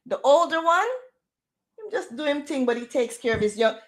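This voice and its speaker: A Jamaican woman hosting a casual livestream chat in a loud, projecting voice, firing off rhetorical questions with easygoing slang. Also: sits high, lively intonation, moderate pace. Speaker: livestream host.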